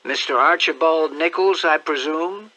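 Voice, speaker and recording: A playful, cocky teenage boy from the country, cheeky and a little pleading, as a male narrator does him. His voice is lifted slightly and has a bright, forward tone. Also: a little high, a little slow, pitched above the performer's own voice, rough recording.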